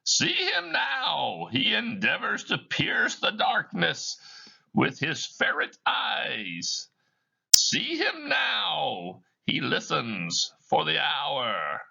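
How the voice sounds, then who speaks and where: A man voicing the ghost of a dead old miser, speaking slowly in a lofty theatrical way. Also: on the high side, wildly swooping pitch, creaky, sharp tone, slightly rough, muffled recording, pitched above the performer's own voice, full character voice. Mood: urgent. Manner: slow, drawn-out, lofty theatrical delivery.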